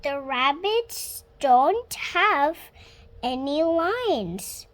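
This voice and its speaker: A girl of about six reading a children's storybook aloud, halting word by word, stumbling and correcting herself. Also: sits high, big pitch swings. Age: child, about 6 years old. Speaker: young reader practising reading aloud.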